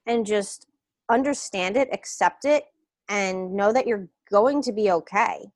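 Relaxed New woman guest. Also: mid-range voice, no echo. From New York. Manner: relaxed, meandering, hesitant with fillers. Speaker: guest, wellness coach. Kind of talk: casual interview.

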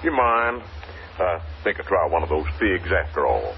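An actor in a 1950s radio drama playing a jovial, nosy American tourist with a rural accent and chummy, garrulous talk. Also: male voice, deep voice, sing-song, normal speed, vocal fry, heavily acted. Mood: genial, prying. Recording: muffled, noisy old radio recording.

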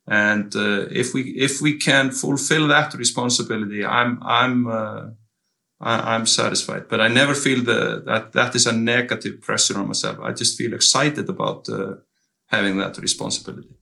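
Middle-aged man from Iceland, gravelly and bright, hesitant interview guest. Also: medium pitch, fairly even intonation. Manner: measured, with many hesitations and restarts. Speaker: company owner, guest. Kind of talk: casual interview conversation.

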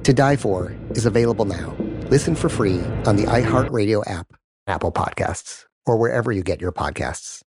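A man's voice: fairly low pitch, lively intonation, moderate pace. A man hosting, reading a scripted podcast promo. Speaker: podcast host. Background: music.

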